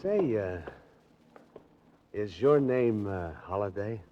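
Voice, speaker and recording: A man's voice: on the low side, animated, takes it slowly. An actor playing a tough, slangy, mocking American henchman with a streetwise manner in 1940s radio drama style. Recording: noisy, muffled 1940s radio recording.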